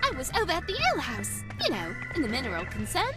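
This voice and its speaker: A woman's voice: sits high, huge pitch swings, fast talker, over loud music. A woman playing an eager, cheeky young detective's assistant in a fan-dubbed game, chattering and rambling along. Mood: excited. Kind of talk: fan-dub video game acting.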